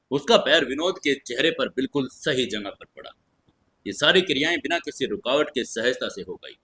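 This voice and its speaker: Husky man narrating a dramatic story. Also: medium pitch, pushed and bright.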